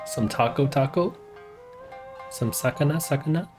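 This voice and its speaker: A man's voice: medium pitch, normal speed. A man giving casual, slangy live commentary as he plays a game, offhand in his reactions. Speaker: game streamer. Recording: game music behind the voice.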